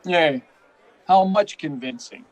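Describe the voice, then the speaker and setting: An actor as a sly, streetwise hotel detective. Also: male voice, on the high side, expressive, takes it slowly, some chatter behind, low-quality recording. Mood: sly, agreeable. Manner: slangy, wary, knowing. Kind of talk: old-time radio detective drama performance.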